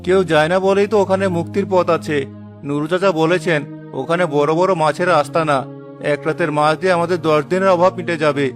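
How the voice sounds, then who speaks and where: A man plays a struggling young-hearted fisherman in a cartoon drama. His voice sits high for a man and is full of earnest emotion and determination. Faint music plays behind him. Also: fairly even intonation, fairly quick, natural voice. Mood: weary, dejected.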